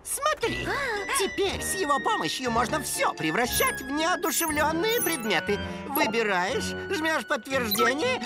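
A woman voicing a boastful, bossy, excitable little animal inventor in a children's cartoon, with a showy delivery. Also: sits high, unhurried. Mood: showy, eager. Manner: showy, exclamatory. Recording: loud music behind.

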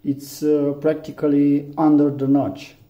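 A man with a Romanian accent speaks slowly and haltingly, pausing on fillers as he walks through phone settings. His voice is very dark and mellow. The sound is echoey and a little dull, like a lo-fi recording in a room. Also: fairly high pitch, level tone. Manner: halting, many fillers, plain instructions. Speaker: tech tutorial maker. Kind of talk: hands-on phone tutorial. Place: room indoors, phone on a table.

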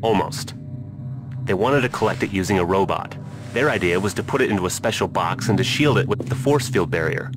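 An actor playing a weary, idealistic scientist in a sci-fi game, speaking earnestly and deliberately. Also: male voice, deep voice, expressive, background noise. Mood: grave, explaining. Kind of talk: video game cutscene acting.

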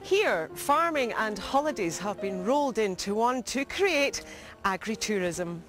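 A woman's voice: medium pitch, animated, a little slow, fairly bright tone. A middle-aged woman presenting a travel show, narrating in a polished, upbeat way. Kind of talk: scripted TV narration. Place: outdoors in an alpine village. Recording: music bed under voice.

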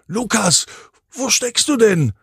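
A man voicing a worried mother calling out in searching shouts for her lost young son in a children's story. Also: high voice, big pitch swings, slow pace, vocal fry, voice pushed up, heavily acted.

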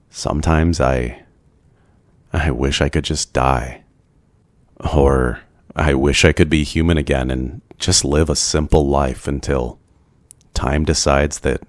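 A man voicing a brooding, lonely ancient vampire in an ASMR roleplay, gentle, courteous and soft-spoken, with hesitant pauses. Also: rumbling low, fairly even intonation, no echo. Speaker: roleplay voice performer. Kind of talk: ASMR boyfriend roleplay, scripted.

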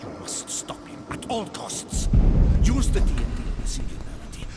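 An actor playing an earnest, desperate rebel scientist in a video game, urgent and imploring. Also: male voice, high voice, big pitch swings, moderate pace, very noisy. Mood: pleading. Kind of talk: video game cutscene acting. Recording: loud dramatic music behind.

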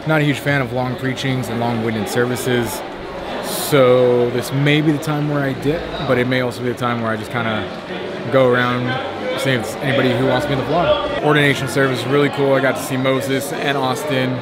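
A man's voice: medium pitch, little pitch movement, fairly quick, heavy background noise. A young man casually vlogging at a convention, upbeat and chatty, rating a cookie aloud. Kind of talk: casual vlog chat. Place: busy convention hotel hall, indoors. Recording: crowd chatter behind. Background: crowd chatter.

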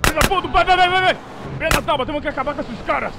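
Male actor as hot-headed Brazilian gang boss, high voice, quick bossy delivery, noisy game dub. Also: vocal fry. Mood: urgent, angry. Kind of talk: game fan dub acting. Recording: noisy, gunfire and car sounds.

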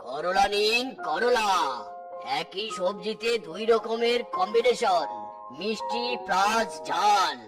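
A man's voice: high-pitched, takes it slowly, over music. A man voicing a greedy, jealous tiger uncle in a cartoon, boastful and theatrical. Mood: boastful, eager. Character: greedy, jealous male tiger uncle, scheming vegetable seller. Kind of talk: exaggerated cartoon voice acting.

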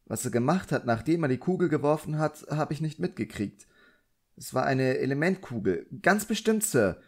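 A man's voice: a little high, very animated, normal speed, voice pushed up. A man voicing a nervous, eager young apprentice boy in an audiobook, earnest and deferential. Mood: anxious, eager. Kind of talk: audiobook character acting.

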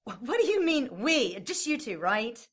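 A woman's voice: a little high, sing-song, normal speed, breathy edge. A woman acting a fearful, demanding injured character in an audio drama. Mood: alarmed, exasperated.

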